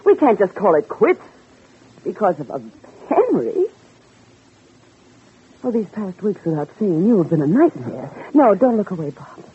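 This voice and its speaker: An actress playing a desperate, clinging jilted mistress with a vengeful streak, in melodramatic 1940s radio drama style. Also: female voice, mid-range voice, very animated, unhurried, pauses often, husky, heavily acted. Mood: pleading. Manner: melodramatic, pleading. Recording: muffled, hazy vintage radio recording.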